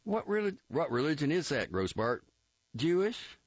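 A gruff, impatient army captain, voiced by a male reader, raised in pitch and pushed loud. He talks in a bullying, sarcastic way. The recording is dull, with a dry, close sound. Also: sing-song, normal speed, dry recording.

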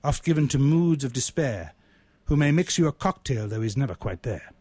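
A man's voice: mid-range voice, animated, normal speed, darkish tone, slightly rough, dry recording. Man, dry close recording, storytelling voice. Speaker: radio show host and poet. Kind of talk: conversational storytelling and poetry recitation.

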